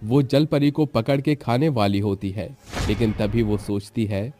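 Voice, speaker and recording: A man's voice: on the low side, steady tone, measured pace, mellow. A man giving scripted narration for a storybook tale. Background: music.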